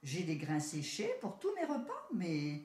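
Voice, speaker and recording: A woman's voice: on the low side, huge pitch swings, a little slow, a little mellow. A woman voicing a modest, simple male country rat in a storybook tale. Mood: humble. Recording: roomy echo.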